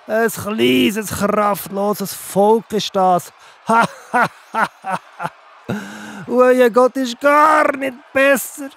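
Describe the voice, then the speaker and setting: A man's voice: very high-pitched, vocal fry, a bit bright, exaggerated voice. A man with a Swiss German accent playing a boastful giant Philistine warrior who taunts and mocks in a children's audio drama. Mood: mocking. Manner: taunting, drawn-out, with scornful laughs.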